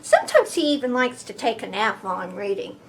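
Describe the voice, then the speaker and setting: A woman reading a picture book aloud to children, expressive and deliberate, with playful emphasis. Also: a little high, a little hiss or hum. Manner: expressive, deliberate, with playful sound effects and gasps.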